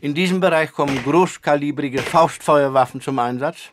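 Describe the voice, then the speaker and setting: An actor playing an earnest, matter-of-fact head of a computer rage-therapy centre, explaining himself in folksy officialese in a deadpan radio comedy interview. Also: male voice, on the high side, normal speed, slight background noise, lightly acted. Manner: hesitant openers, folksy officialese with tag questions.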